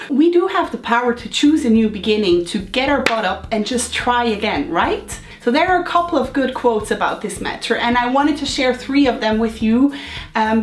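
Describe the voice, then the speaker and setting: A woman's voice: fairly high pitch, roomy sound. Upbeat woman with a cold-touched voice. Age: 30s. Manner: upbeat, encouraging, chatty asides. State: slightly hoarse from a cold. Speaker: lifestyle vlogger. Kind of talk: motivational talk to camera. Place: home room.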